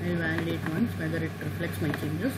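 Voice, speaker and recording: A woman teaching a software tutorial over a screen recording, talking haltingly with many "uh" and "okay" fillers. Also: low voice, fairly even intonation, very noisy. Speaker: tutorial instructor.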